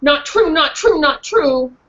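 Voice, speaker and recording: A woman's voice: sits high, animated, takes it slowly, muffled recording, very noisy. A woman voicing an anxious little male dog who repeats every call three times, in a storybook read aloud to children. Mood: insistent. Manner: urgent, says each phrase three times. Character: frantic small male dog, anxious and repetitive.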